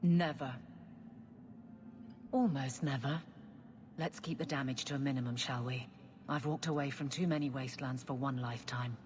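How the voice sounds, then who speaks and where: A woman's voice: very deep voice, fairly even intonation, normal speed, long pauses, a little mellow, in a large hall. An actress playing a stern female guard captain in a video game, speaking in a dry, no-nonsense way. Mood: wry, firm. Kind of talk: video game cutscene acting.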